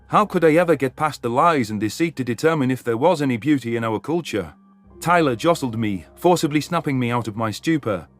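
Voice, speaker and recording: A man's voice: medium pitch, expressive, brisk, soft background music. A man reading scripted first-person science fiction as a story narrator.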